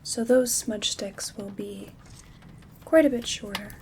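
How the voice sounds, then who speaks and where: Slow, hushed woman as a gentle witch. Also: medium pitch, steady tone, natural voice. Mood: calm, caring. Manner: soothing, unhurried, intimate. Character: gentle, caring female witch herbalist. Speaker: ASMR performer. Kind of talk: ASMR roleplay, soft-spoken and whispered. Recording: soft rain and handling noise. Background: rain sounds, craft rustling.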